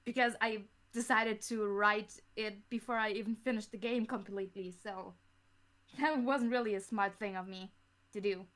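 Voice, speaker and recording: A woman chatting casually as a podcast guest about their writing, halting and giggly as they go. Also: medium pitch, steady tone, fairly bright tone. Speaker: guest writer of a fan audio drama.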